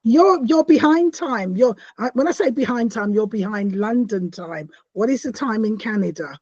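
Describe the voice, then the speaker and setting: Woman, interview host, chatty and welcoming, soft mellow tone, muffled lo-fi call sound. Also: a little high, lively intonation, normal speed, slightly rough, no echo. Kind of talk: conversational interview.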